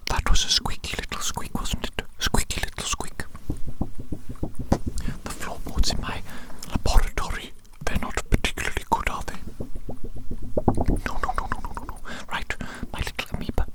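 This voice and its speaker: A performer playing an eccentric, doting evil doctor in a whispered ASMR roleplay, breathy and playful, repeating phrases soothingly. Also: normal speed, natural voice, poor audio. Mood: chatty, coaxing.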